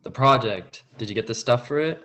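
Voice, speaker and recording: A man playing a calm, unbothered teenage boy at a film script table read. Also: medium pitch, a little fast, natural voice. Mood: flat, insistent. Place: remote video call rehearsal.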